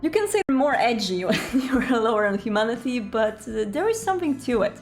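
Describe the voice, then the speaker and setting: A woman presenting advice in an explanatory video style, earnest, with emphatic pauses. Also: a little high, sing-song, soft background music. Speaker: presenter giving roleplaying advice.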